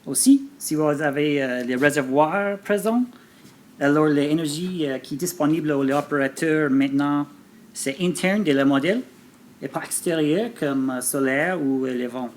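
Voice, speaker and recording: A native English-speaking man giving a conference presentation haltingly in a second language, with frequent hesitations. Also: a little high, slight background noise. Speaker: government researcher presenting a poster pitch. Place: live forum presentation.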